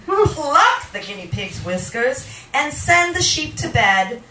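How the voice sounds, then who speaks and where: A woman reading poetry live at a small venue, with a dramatic, deliberate delivery, recorded with a handheld microphone in a roomy space. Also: high-pitched, big pitch swings, bright, forceful tone, far from the mic, a little hiss or hum. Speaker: poet reading at a poetry night. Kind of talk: live spoken-word poetry reading with casual asides. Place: small wood-panelled indoor bar venue. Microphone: handheld stage microphone, picked up from a camera.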